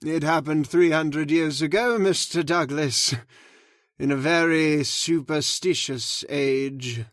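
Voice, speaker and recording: A man playing a grave, slow-spoken old caretaker. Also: fairly high pitch, dry recording, studio quality. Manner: slow, ominous, measured delivery. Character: grave, solemn male storyteller of the house. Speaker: Mister Roberts, old manor caretaker telling the legend. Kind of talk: old-time radio drama acting.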